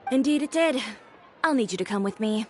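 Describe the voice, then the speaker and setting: A woman playing a brusque, self-assured female video game character with a blunt, deadpan manner and dry wit. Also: a little high, a little fast, a little hiss or hum, lightly acted. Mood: blunt, determined.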